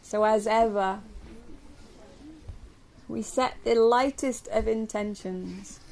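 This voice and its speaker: A woman from England leading a guided yoga nidra meditation for a group, speaking gently and slowly with long pauses. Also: mid-range voice, gravelly. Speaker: yoga nidra teacher guiding a relaxation. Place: live group session at a camp. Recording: steady ambient noise, live recording.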